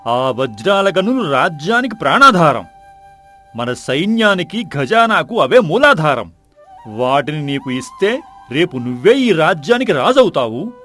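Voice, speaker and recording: A man's voice: a little high, very animated, unhurried, pushed and bright, faint music behind, heavily acted. A man playing a proud, hot-tempered king in a folk-tale drama, commanding and indignant.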